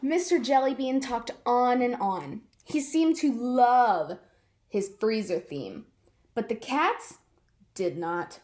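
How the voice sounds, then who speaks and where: A woman reading a picture book aloud to children in an expressive way, drawing out words for effect. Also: a little high, slow. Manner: animated, draws out words for effect. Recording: roomy, echoing sound.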